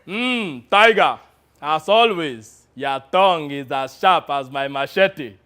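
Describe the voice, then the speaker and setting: A pompous rebel general in a comic stage tale, with an exaggerated African accent. He declaims loudly in a high-set male voice, stretching his words with grandiose, theatrical weight. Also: animated, slow pace, smooth, heavily acted. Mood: commanding. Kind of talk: exaggerated comic character acting.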